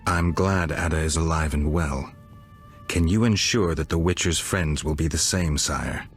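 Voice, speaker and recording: Extremely deep-voiced stoic monster hunter. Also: male voice, unhurried, soft background music. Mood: grave. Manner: terse, dry, restrained. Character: stoic, blunt male monster-hunter for hire. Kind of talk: video game acting.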